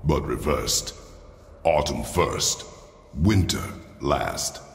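A stern, battle-hardened warrior father in a video game. His voice is gravelly and extremely low, with terse commands that swing in pitch. It rings in a reverberant chamber. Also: lively intonation, extremely slow, slight background noise, heavily acted. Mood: brooding, deliberate. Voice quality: very deep, gravelly. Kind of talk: cinematic video game acting. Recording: roomy reverberant stone chamber, game ambience.

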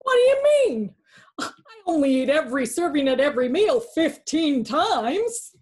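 A middle-aged woman playing a gluttonous, dopey animal in an amateur children's play, with a halting, exaggerated, whiny delivery. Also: sits high, sing-song, darkish tone. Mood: hungry, whiny. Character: gluttonous, dopey animal who jokes about eating friends.

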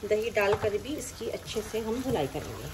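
A woman's voice: mid-range voice, big pitch swings, normal speed, background noise. A woman hosting a cooking channel, explaining each recipe step plainly in a friendly voice-over. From Pakistan. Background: frying and sizzling.

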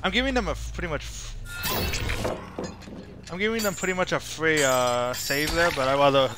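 A man's voice: on the high side, normal speed, over music. A young man giving casual, rambling, opinionated live commentary as he streams a video game. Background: horror video game sound.

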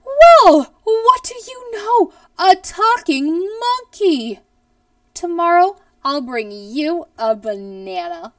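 A woman's voice: very high-pitched, animated, takes it slowly, a bit bright, dry recording, clean studio sound, distant mic, voice pushed up, full character voice. A woman voicing a nice but bewildered, stubborn schoolteacher in a children's storybook, exclaiming in disbelief. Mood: astonished. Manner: exclaiming, incredulous.

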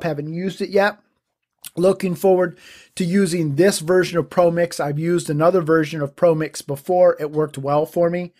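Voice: mid-range voice, darkish tone. A homesteading podcast host giving a casual first-impressions review of gardening gear, thoughtful and plain-spoken, weighing pros and cons as they talk.

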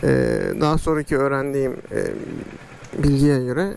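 A man telling a casual vlog story in a halting, drawn-out way, speaking into a helmet microphone. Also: medium pitch, level tone, a little mellow, a little hiss or hum. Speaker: motorcycle vlogger. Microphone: external microphone inside a helmet.

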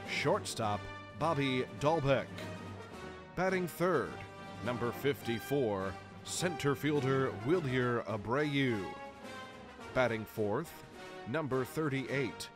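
A man in his thirties with an American accent, announcing as a baseball public address announcer and stretching out names in a showman's delivery. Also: medium pitch. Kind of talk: scripted sports PA announcing. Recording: music behind the announcements. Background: music.

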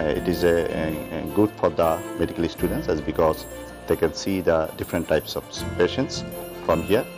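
A middle-aged man with a very low voice describes his teaching work, hesitant and full of filler words. Soft music sits under the office interview. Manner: hesitant, with many fillers and restarts. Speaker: medical teacher. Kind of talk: spontaneous interview talk. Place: office indoors.

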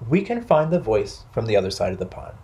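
A man's voice: fairly low pitch, huge pitch swings, a little fast, noisy background, voice pushed down. A man voicing a small, friendly young beaver in a children's story, earnest and eager. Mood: earnest, inviting.

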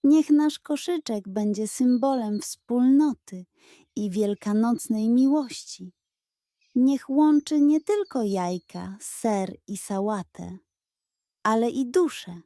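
A woman's voice: a little high, slow, dark tone, dry recording, clean studio sound. A woman voicing a cunning, pompous male pug who declaims proudly in a children's audio story. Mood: moved, solemn. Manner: theatrical, proud, solemn.

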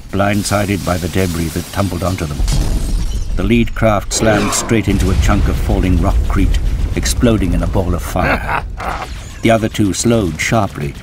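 A man giving dramatic, scripted narration of an action audio drama in a grave, measured storytelling manner. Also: low-pitched.

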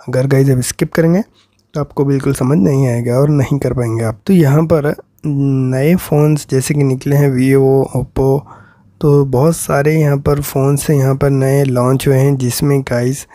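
A man's voice: mid-range voice, warm tone, no echo, studio quality. A man with an Indian accent giving an informal tutorial voice-over as a tech host, repeating himself and often addressing his viewers directly.

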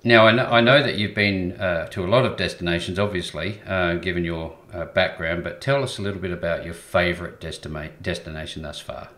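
A man's voice: low-pitched, steady tone, fairly quick, few pauses, a bit bright. A man hosting an interview who asks short, friendly personal questions. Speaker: interview host.

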